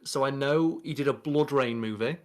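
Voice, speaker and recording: A man's voice: mid-range voice, moderate pace. Young man rambling through casual explanations. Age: young adult. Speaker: podcast co-host. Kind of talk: casual movie chat. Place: bedroom, on a video call.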